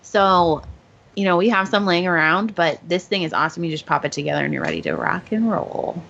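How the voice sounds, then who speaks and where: A woman's voice: lowish voice, very animated, a little fast, vocal fry, fairly bright tone, highs cut off. A woman co-hosting a podcast in informal, enthusiastic casual chat. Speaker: podcast co-host.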